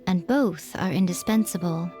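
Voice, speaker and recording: A woman's voice: lowish voice, moderate pace, dark tone, soft background music, close to an everyday voice. A woman voicing a sly young shrine priestess in a game, speaking in a wry, teasing way. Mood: warm teasing. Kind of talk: game voice acting.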